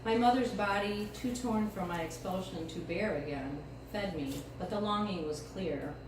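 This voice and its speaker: A middle-aged American woman giving a live poetry reading with casual introductions, phrasing expressively and deliberately. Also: medium pitch. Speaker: featured poet reading at a poetry event. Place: indoor live event space, mic far from speaker. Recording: distant, echoey room recording with clear noise.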